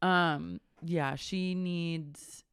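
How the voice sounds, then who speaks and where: A man's voice: fairly high pitch, fairly even intonation, very slow, a bit bright, smooth, no echo, clean studio sound. A male podcast co-host in casual chat, recapping a reality show and often acting out what people said. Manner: chatty, acts out quoted dialogue.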